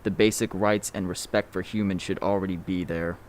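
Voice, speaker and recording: A man's voice: sits low, fairly even intonation, fairly quick, a little hiss or hum. A man giving an earnest, reflective spoken monologue.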